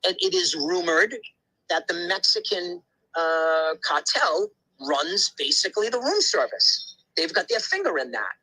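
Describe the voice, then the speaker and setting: A man's voice: high-pitched, very animated, a little slow, bright, forceful tone, poor audio. A man talking in a casual podcast conversation, telling things bluntly and emphatically, with many asides and restarts. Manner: blunt, occasional swearing.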